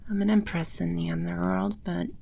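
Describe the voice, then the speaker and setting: Female performer as teasing demon empress for ASMR roleplay: lowish pitch, heavy vocal fry, coy and self-assured. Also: steady tone, mellow, a little hiss or hum. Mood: poised, coy. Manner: unhurried, coy, teasing, confident. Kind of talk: ASMR audio roleplay, spoken close to the listener.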